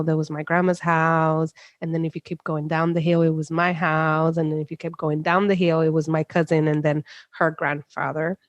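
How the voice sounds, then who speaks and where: A Puerto Rican woman in her thirties, a psychologist, talks through her memories in a relaxed interview. Her voice sits slightly low. It is recorded close and dry, with restarts and fillers woven through her stories. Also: dry recording, dull audio. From Puerto Rico. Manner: warm storytelling with many fillers and restarts. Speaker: psychologist guest. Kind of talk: casual interview conversation.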